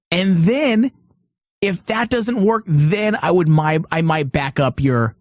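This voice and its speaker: A man's voice: mid-range voice, no room sound, clean studio sound. A man hosting an opinionated panel talk, arguing his points emphatically. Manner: emphatic, argumentative. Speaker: podcast host.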